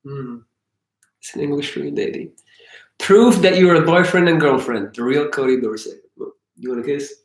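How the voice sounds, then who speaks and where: A man's voice: fairly high pitch, normal speed, echoey room, poor audio. Joking man reading viewer questions. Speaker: YouTube host. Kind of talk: live stream Q&A chat.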